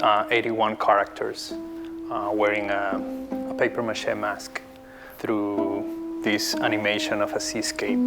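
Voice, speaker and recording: A deep-voiced man from Ecuador speaks in English. His voice has a gravelly edge and stays in a narrow, flat range. He talks reflectively about his art and often restarts his sentences, with guitar music playing behind him. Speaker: visual artist. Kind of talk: documentary interview.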